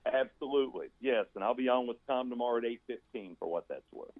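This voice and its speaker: A man's voice: mid-range voice, fast talker, dry recording. A man, a sports announcer appearing as a guest, talking through basketball analysis in a talkative, conversational way with folksy, emphatic asides. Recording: tinny phone-like line.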